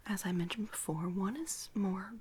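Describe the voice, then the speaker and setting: A woman whispering gently and haltingly in a soft-spoken ASMR personal-attention roleplay, playing an attentive makeup artist. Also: medium pitch. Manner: gentle, hushed, unhurried, halting with soft pauses.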